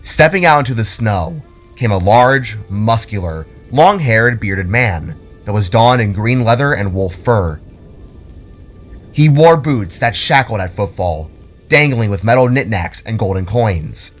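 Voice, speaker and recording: A man reading scripted fantasy story narration in a dramatic, deliberate storytelling manner. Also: on the low side, expressive. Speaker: author and narrator. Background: music.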